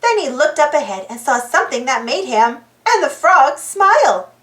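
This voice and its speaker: High-voiced, animated woman storyteller. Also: normal speed, reverberant. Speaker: storybook narrator. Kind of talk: animated children's read-aloud narration.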